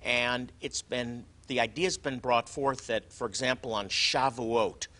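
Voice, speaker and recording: A man hosting a religious show, teaching conversationally, often halting and repeating words as he explains. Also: mid-range voice, steady tone, pushed and bright.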